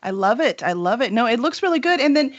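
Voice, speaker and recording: A woman's voice: fairly high pitch, sing-song, quick pace, dry recording. A woman hosting a casual interview in an effusive, informal way, on slightly dull audio. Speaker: interviewer, publisher.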